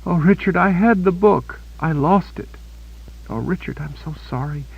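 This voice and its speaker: A man's voice: high-pitched, normal speed, creaky, husky, dull audio, pitched above the performer's own voice, exaggerated voice. A male narrator voicing a wounded, desperate young woman who pleads with breathless panic. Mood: tearful.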